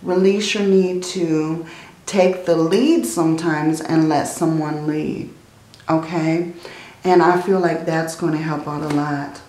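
A woman speaks slowly and gently as she reads tarot, her voice low and a little worn out. Her phrases run on softly and often end in "okay?" The sound echoes in the room. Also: little pitch movement, slight background noise. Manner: slow, soothing, often adds "okay?" and "I feel like". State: tired, strained voice. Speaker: tarot reader. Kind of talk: casual tarot reading. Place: indoors at a table, hands seen handling cards.

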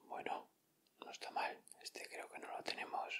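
Man, Castilian Spanish accent, bright crisp whisper, ASMR host, informal. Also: normal speed. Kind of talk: ASMR, whispered unboxing commentary.

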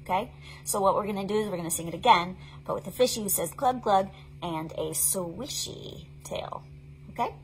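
A woman in her thirties presenting a storytime for young children in an animated, sing-song, encouraging way. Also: medium pitch, normal speed, a little hiss or hum, low-quality recording. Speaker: children's librarian hosting an online storytime. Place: small indoor play tent set up for recording.

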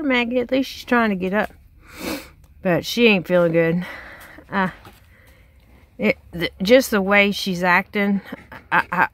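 A middle-aged woman with a Southern accent, chatting casually to her phone camera outdoors on her farm, folksy and full of fillers. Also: mid-range voice. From Texas. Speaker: farm vlogger. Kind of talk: casual vlog narration. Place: outdoors on a rural farm, by a chicken pen. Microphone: handheld phone camera.

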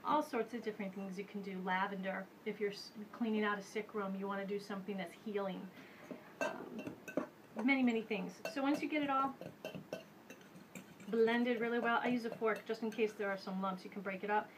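A woman's voice: mid-range voice, moderate pace, background noise. A woman in her thirties hosting a casual how-to demonstration in her home kitchen. She chats loosely and thinks aloud with plenty of fillers. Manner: chatty, relaxed, thinks aloud with many fillers. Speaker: host of a home witchcraft show. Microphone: camera mic at medium distance.

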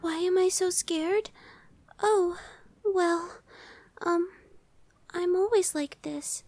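A woman voicing a timid, anxious young female gargoyle in an ASMR roleplay, soft, girlish, hesitant and stammering. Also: very high-pitched, steady tone, slow pace, dry recording, exaggerated voice. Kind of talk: ASMR audio roleplay acting.

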